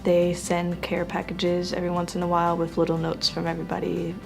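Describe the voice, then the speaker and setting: An earnest young woman of eighteen speaks in a low, flat tone, steady and sincere. Her voice stays even as she shares her testimonial. Clear background music runs beneath her words. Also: a little fast. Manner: earnest, steady, with filler ums. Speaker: church young adults group member. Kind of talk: testimonial interview. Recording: music bed underneath.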